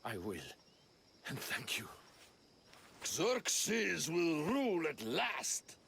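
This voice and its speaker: Anxious theatre player, raised pitch, earnest, uneasy, dramatic, video game, slight background ambience. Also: male voice, wildly swooping pitch, extremely slow, creaky, fairly bright tone, a little hiss or hum, heavily acted. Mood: growing confidence. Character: anxious, rattled stage actor craving godlike confidence. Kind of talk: video game cutscene acting.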